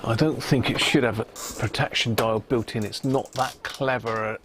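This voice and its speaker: A man's voice: medium pitch, steady tone, normal speed, noisy background. A man hosting a casual hobby livestream, chatting matily and muttering to himself as he works at an electronics bench. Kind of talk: casual live chat while working at a bench.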